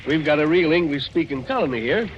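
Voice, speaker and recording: A man acting a plainspoken, ominous old-timer, telling things in a folksy, deliberate way in an old radio drama. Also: on the high side, expressive, natural voice. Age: older. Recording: muffled, hissy vintage radio broadcast.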